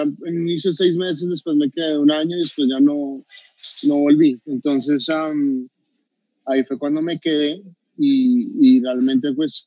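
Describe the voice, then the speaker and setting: Hesitant Bogotá chef on a dull call. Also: male voice, a little high, dark tone, dry recording. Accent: Bogotá Colombian Spanish. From Colombia. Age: 30s. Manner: hesitant, many restarts and fillers. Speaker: chef guest. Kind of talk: video call interview. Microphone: video call webcam. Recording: dull dry video call audio.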